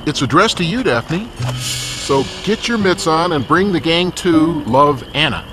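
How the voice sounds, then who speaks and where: Cartoon game gang leader, teen male: friendly, confident, take-charge, lightly high, lively delivery. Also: unhurried. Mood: surprised. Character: upbeat, confident teenage boy gang leader. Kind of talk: cartoon video game voice acting. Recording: music behind the voice.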